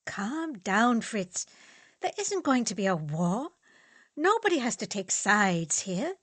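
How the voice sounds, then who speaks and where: Woman reading a soft-spoken young male student, slow and steady. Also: a little high, no room sound, full character voice. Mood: calm. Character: earnest young male student, calm peacemaker.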